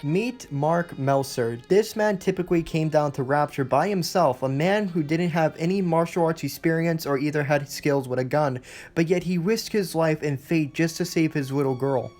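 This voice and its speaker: A man's voice: on the high side, darkish tone. A man hosting a video essay, reading scripted countdown narration in wordy, awkward phrasing.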